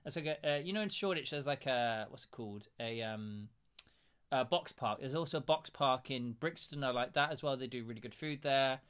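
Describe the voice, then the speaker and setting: A man's voice: mid-range voice, a little fast, fairly bright tone. A man hosting a casual interview, chatty and meandering, with plenty of fillers and asides. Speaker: interviewer, host.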